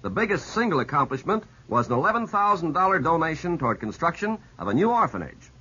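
A man working as a 1950s radio announcer, reading scripted narration in an earnest, declamatory way with a raspy edge. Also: on the high side, sing-song, fast, sharp tone. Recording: dull 1950s radio recording.

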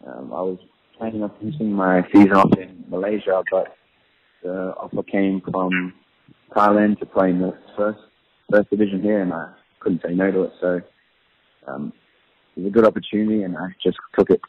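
A young man, a professional footballer, answering interview questions in a relaxed, hesitant way with many ums. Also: low voice, normal speed, husky. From Australia. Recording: tinny phone-line audio.

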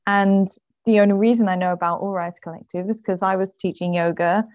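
A woman's voice: mid-range voice, steady tone, brisk, no room sound, phone-quality sound. A woman guest on a podcast, a parent, telling her story in a friendly, chatty way. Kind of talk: casual conversation.

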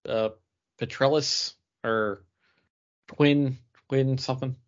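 A man's voice: mid-range voice, steady tone, slow. A man talking casually as a podcast co-host. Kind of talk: casual chat. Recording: dull lo-fi podcast audio.

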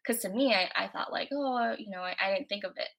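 A woman's voice: medium pitch, quick pace, vocal fry, a bit bright, lo-fi sound. A young American woman from Florida, a guest in a casual interview, talking loosely with lots of "like" and "you know". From United States. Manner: chatty, many "like" and "you know" fillers. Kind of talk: casual conversation.

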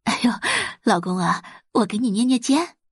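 A woman's voice: a little high, normal speed, husky, no room sound, studio quality. A woman playing an exasperated middle-aged wealthy mother and nagging wife in an audio drama, scolding and complaining. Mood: coaxing. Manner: scolding, complaining, turning coaxing for money.

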